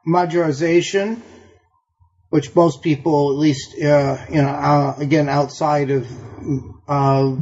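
A man with a slightly high voice acts as interviewer in an academic conversation. He is thoughtful and tentative, phrasing things in a roundabout way. The audio is somewhat dull and lo-fi. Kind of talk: reflective academic interview questioning.

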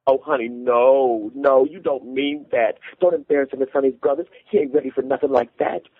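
A man's voice: medium pitch, fairly even intonation, fairly quick, breathy edge, no room sound, narrow, tinny audio, voice pushed up. A man voicing a distraught Southern wife and mother who pleads and whines in rural dialect. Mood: alarmed.

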